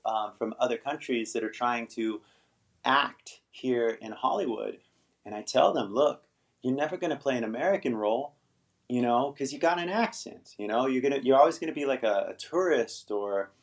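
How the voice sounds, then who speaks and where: A middle-aged man hosting an English-teaching livestream, chatting casually with viewers and rambling, often tagging "right?" onto his points. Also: mid-range voice. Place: Los Angeles.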